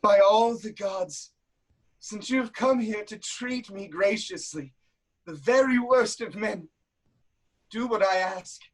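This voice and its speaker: A woman's voice: mid-range voice, slow pace. A woman playing an anguished, self-loathing blinded king in a declamatory, lamenting style of Greek tragedy. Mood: pleading. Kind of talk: theatrical Greek tragedy acting.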